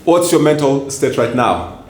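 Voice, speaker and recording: Guy interviewing, medium pitch, roomy, faint noise. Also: big pitch swings, measured pace, darkish tone. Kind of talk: interview questions.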